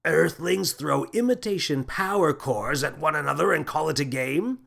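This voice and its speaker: A man voicing a mad, excitable male space captain in a children's audiobook, with a theatrical, exclamatory manner. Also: a little high, normal speed, vocal fry, voice pushed up, exaggerated voice. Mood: curious glee. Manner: theatrical, exclamatory, swinging from menace to delight.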